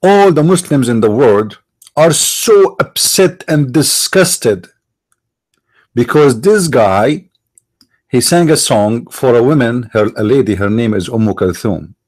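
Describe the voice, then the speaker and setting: A middle-aged man with an Arabic accent hosting a commentary monologue, halting, restarting words and stressing his points. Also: medium pitch, expressive, a little mellow. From Middle East. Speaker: online show host.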